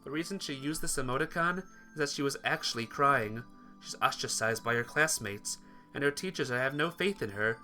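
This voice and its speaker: A man's voice: mid-range voice, lively intonation, moderate pace, bright, forceful tone, soft background music. A man reading visual novel text aloud as dramatic, earnest, emotive story narration for a playthrough.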